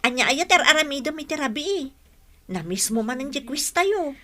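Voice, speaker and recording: An actress playing a brisk, chiding young schoolmate in an Ilocano radio drama. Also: female voice, high-pitched, lively intonation, pushed and bright.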